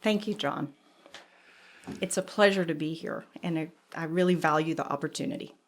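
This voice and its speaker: A woman around seventy, a furniture designer, recalling her life in a reflective, measured conversational way. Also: low-pitched. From Cuba, raised in Georgia. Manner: reflective storyteller, measured with small pauses. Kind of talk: conversational interview.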